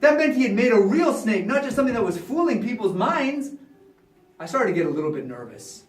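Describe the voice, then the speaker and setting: A man playing a boastful, chatty ancient Egyptian chief magician, telling a Bible story in animated, casual modern speech. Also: on the high side, fast talker. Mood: rueful, chatty. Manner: animated, conversational, acting out the other characters. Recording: echoey room, far from the mic.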